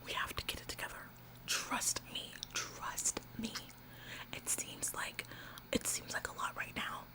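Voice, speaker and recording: A woman's voice: natural voice, poor audio. A woman playing a bossy, self-important young retail employee in an ASMR roleplay, earnest and stammering over repeated words. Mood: bossy, self-assured.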